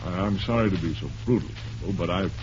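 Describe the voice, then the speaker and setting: An actor playing a successful playwright in an old-time radio drama, gentlemanly and condescending, hesitating as he politely deflects. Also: low voice, fast, natural voice. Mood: firm, exasperated. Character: successful, uneasy male playwright, politely brushing off pleas. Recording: muffled, hissy old radio recording.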